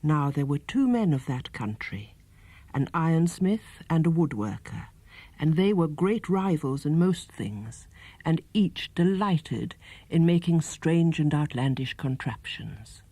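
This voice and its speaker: A man narrates a storybook tale in a scripted audiobook style. His tone is dark and mellow. The sound is close and dry, with no room around it. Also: a little high, dry recording. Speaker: audiobook reader.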